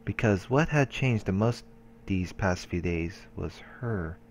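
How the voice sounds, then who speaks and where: A man's voice: sits low, lively intonation, slow pace, darkish tone, slight background noise. A man hosting a let's play, reading game narration aloud with occasional casual asides.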